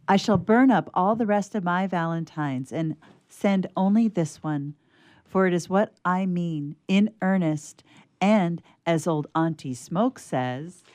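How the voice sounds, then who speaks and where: A woman playing a gentle, proper, earnest schoolgirl in a radio theatre piece, speaking with careful deliberation. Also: fairly low pitch, slow, no room sound. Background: harp underscoring.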